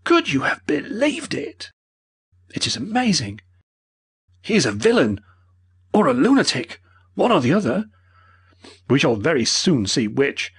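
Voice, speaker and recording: An indignant museum curator bursts out in loud, slow, outraged exclamations, as a man puts him on. His husky voice leaps far above the narrator's usual pitch in this storybook reading. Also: big pitch swings, full character voice.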